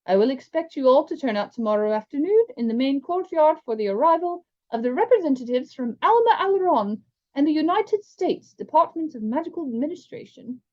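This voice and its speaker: A formal, commanding headmaster addresses his school, read aloud by a woman. The voice sits a little higher than her usual, and the recording sounds slightly dull and lo-fi. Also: sing-song, a little fast, darkish tone.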